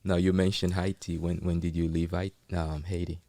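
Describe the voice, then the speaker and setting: A man's voice: low voice, level tone, moderate pace, dark tone, no echo. A man hosting an interview show, encouraging his guest with frequent affirmations. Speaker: show host.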